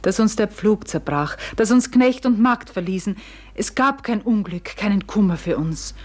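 An actress playing a farmer's wife who recounts an uncanny event with wonder, in measured, literary radio play narration. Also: female voice, medium pitch, animated, darkish tone, natural voice. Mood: wistful, marvelling. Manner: measured, literary, deliberate delivery.

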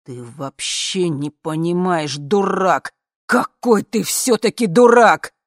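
A woman voicing an anxious, exasperated man on the edge of panic, with trembling, drawn-out words. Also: on the low side, dry recording, studio quality. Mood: furious panic.

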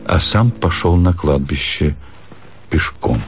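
A man's voice: sits low, slow pace, gravelly, faint music behind. A man reading a classic short story as the narrator of a radio audio play.

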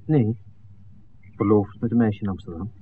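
An actor playing a calm, teasing young film man in love in a 1960s radio play. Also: on the low side, normal speed, slight background noise, close to an everyday voice. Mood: relaxed, teasing. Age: young adult. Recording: tinny, distant old radio recording.

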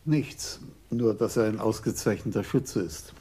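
A husky, mellow-dark male voice as a police commissioner in a radio detective play. He is probing and authoritative, speaking tersely in a low register. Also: unhurried. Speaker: actor in a radio crime drama. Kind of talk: German radio play acting.

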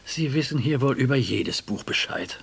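An actor playing a precise, formal, inquisitive young law scholar in a radio drama, with a husky voice. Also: male voice, mid-range voice, lively intonation, normal speed, fairly bright tone, exaggerated voice. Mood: polite, admiring. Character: correct, formal young doctoral student and jurist.

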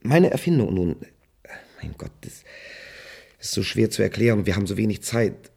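An actor playing an earnest, uneasy scientist in a radio play, explaining formally and haltingly with a gravelly, husky voice. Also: male voice, lowish voice, animated, darkish tone, heavily acted. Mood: earnest, pressed. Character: earnest, uneasy male scientist-inventor doctor.

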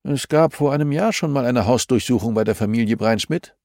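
A man's voice: medium pitch, a little fast, a little mellow, no echo, clean studio sound, voice pushed up, lightly acted. A man voicing a stern older male judge in an audiobook, brusque, formal, skeptical and unyielding. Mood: dismissive. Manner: formal, curt, officious.

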